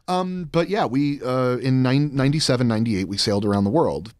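An American man in his thirties, a podcast guest telling personal stories in a halting but emphatic way. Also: mid-range voice, darkish tone, no echo. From Florida, United States. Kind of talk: conversational personal storytelling. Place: small podcast studio, indoors. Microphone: close boom studio mic with headphones.

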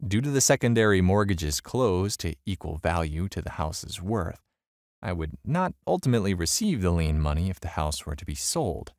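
A man reading a first-person online story aloud, telling it in an animated way. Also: moderate pace, no echo, studio quality. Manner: animated storytelling with wide pitch swings. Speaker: story narrator.

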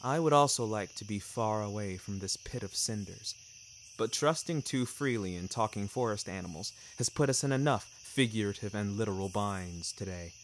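A man narrating scripted first-person fiction in a measured, wry, literary manner. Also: lowish voice, a little hiss or hum. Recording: music bed under the voice.

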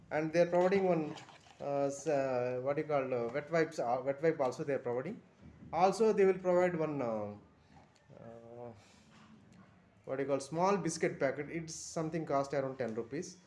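A man with an Indian English accent talks through a casual review on a phone camera, pausing often with fillers and restarts. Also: a little high, a little mellow, slight background noise. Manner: halting, many fillers and restarts. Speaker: vlogger reviewing a bus trip. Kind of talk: casual product review vlog. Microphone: phone camera held over items.

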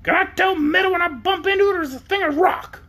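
A reader voicing a brisk, cheeky female yellow hen in a storybook, self-assured and fond of arguing. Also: very high voice, pitched above the performer's own voice, heavily acted. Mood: excited, insistent.

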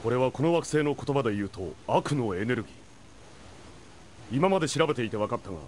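An actor playing a stoic, noble giant robot warrior from space in an animated game cutscene, speaking gravely and deliberately. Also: male voice, mid-range voice, slight background noise, lightly acted.

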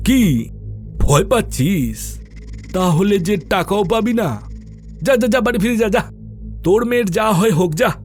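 An actor voicing a cruel, taunting village moneylender in a cartoon, with a mocking, goading manner. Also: male voice, high-pitched, lively intonation, a little slow, long pauses, darkish tone, faint music behind. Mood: taunting, scornful. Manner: mocking, goading, with sinister laughter. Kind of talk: cartoon voice acting.

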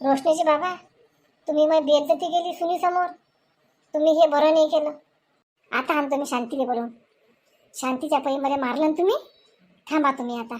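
A woman's voice: high voice, steady tone, fairly quick, pauses often, a little mellow, a little hiss or hum, natural voice. A woman voicing a combative, scolding village wife and mother-in-law in a comedy cartoon, with a Varhadi Marathi accent and melodramatic, exclamatory delivery. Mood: aggrieved, threatening. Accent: Varhadi Marathi from Vidarbha.

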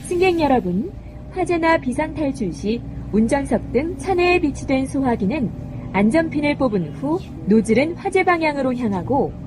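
A woman reading scripted bus stop announcements in a formal, carefully enunciated way. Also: high voice. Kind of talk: scripted public transit announcement. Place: played over speakers inside a moving bus. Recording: noisy recording of bus cabin speakers. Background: loud vehicle and road noise.

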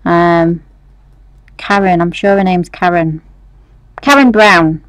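A young British woman with a fairly low voice hosts a casual live nail lesson. She talks in a chatty, reassuring way, and the sound is close and dry. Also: fairly even intonation, takes it slowly, smooth, dry recording. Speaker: nail technician tutor and host. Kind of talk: casual live demonstration chat. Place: nail salon studio, indoors.

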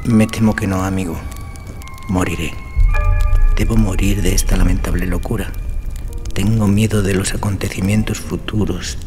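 A man's voice: deep voice, steady tone, moderate pace, pauses often, over music, close to an everyday voice. An actor playing an ailing, anguished nobleman in a Gothic audio drama, trembling, hesitant and agitated. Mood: fearful. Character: ailing, anguished nobleman, frail, nervous and doomed.

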